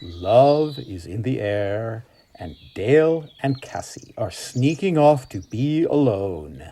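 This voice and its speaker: A man's voice: medium pitch, sing-song, slow pace, warm tone. A man narrating a radio play as scripted drama, in a theatrical manner.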